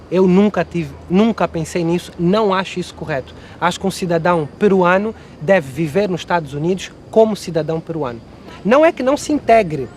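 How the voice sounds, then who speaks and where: A man's voice: sits high, darkish tone, a little hiss or hum. A man from Angola with an Angolan Portuguese accent, explaining his views in an articulate, opinionated way in a documentary interview.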